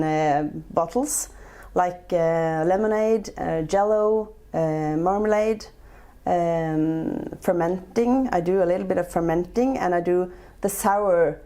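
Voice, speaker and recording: A woman's voice: fairly low pitch, dark tone. A woman in her forties from Norway, speaking English with a Norwegian accent, answering interview questions with frequent hesitations. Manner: hesitant, many filler sounds. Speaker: guest, chef and entrepreneur.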